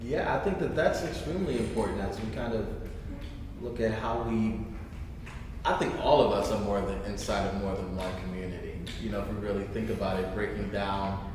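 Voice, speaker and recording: A man's voice: lowish voice, fairly quick. A man speaking as a panelist in a casual, reflective live discussion, answering questions in a conversational way. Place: large reverberant room at a live event. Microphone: distant room microphone. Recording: very echoey hall, distant mic, noisy.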